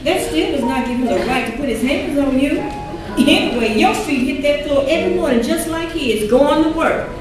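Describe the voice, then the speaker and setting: A woman's voice: high-pitched, animated, moderate pace. An actress playing a woman in an emotional stage drama about marriage, earnest and emphatic. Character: women in emotional confrontation over abusive marriage. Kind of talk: live stage play acting. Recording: echoey stage hall, distant mic, music behind.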